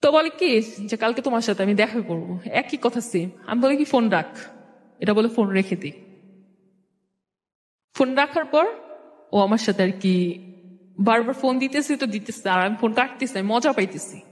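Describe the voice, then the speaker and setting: A woman's voice: on the high side, expressive, normal speed, long pauses. A young woman with a Bangladeshi accent telling a personal story in colloquial speech, acting out conversations as she goes. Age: young adult. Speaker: guest telling own life story. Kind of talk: personal storytelling with re-enacted dialogue. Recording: long echoing hall reverb.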